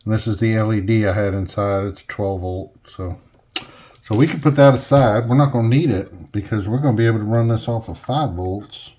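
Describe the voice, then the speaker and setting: A man's voice: on the low side, a little fast, mellow. A man giving casual, hands-on workbench narration in a folksy way, half talking to himself as he works indoors at home. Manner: folksy, muttering to himself while working. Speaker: hobbyist host demonstrating an electronics conversion. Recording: clearly noisy home recording, clicks.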